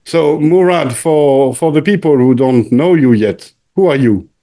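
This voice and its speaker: A man hosting an interview, speaking hesitantly with many pauses and restarts. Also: on the high side, dark tone. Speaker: interview host. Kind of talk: interview conversation.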